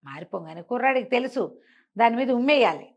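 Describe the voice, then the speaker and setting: Female oral storyteller, higher-pitched, conversational and explanatory, throws in rhetorical questions. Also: expressive, fairly quick, creaky. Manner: explanatory, asks rhetorical questions as she narrates.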